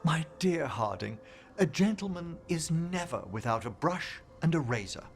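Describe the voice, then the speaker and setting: Fastidious gentleman scholar with lively intonation. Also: fairly high pitch, creaky, faint music behind. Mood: prim, amused. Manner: formal, refined, gently pompous. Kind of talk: video game character dialogue.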